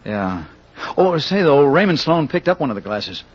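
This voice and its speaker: An actor playing an earnest young suitor in a radio murder mystery, speaking in an urgent, colloquial way. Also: male voice, a little high, very animated, moderate pace, husky. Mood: uneasy, matter-of-fact. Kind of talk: 1940s radio acting. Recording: muffled old radio recording with faint noise.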